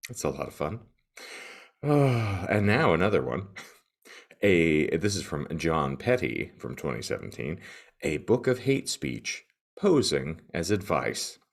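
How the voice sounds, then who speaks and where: A man with an extremely low, gravelly voice hosts a podcast on his own. His delivery swings widely in pitch, with florid, wordy phrasing and a tongue-in-cheek, theatrical touch. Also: big pitch swings, unhurried. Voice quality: gravelly morning voice. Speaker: podcast co-host. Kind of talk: solo podcast monologue, comic reading of reviews.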